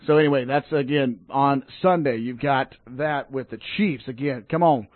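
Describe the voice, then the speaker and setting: A man hosting a local sports radio show, chatting casually about football and high school games and rallying the fans. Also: moderate pace, dry recording. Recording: dry, phone-like narrow sound.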